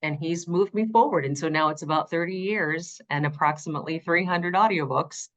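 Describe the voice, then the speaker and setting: Middle-aged woman, low alto, interview guest recounting her audiobook narration career with emphatic conviction. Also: a little fast. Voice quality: low alto, changed by vocal nodules. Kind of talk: conversational interview storytelling.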